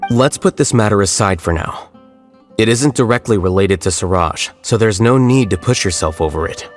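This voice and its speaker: An actor playing a calm, composed male scholar speaking in a measured way. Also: lowish voice, soft background music, lightly acted. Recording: game soundtrack behind.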